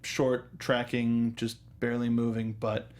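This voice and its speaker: A man's voice: medium pitch, monotone, a little slow, darkish tone. A man hosting a casual film review discussion, often rephrasing himself and joking as he goes. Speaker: talk show host.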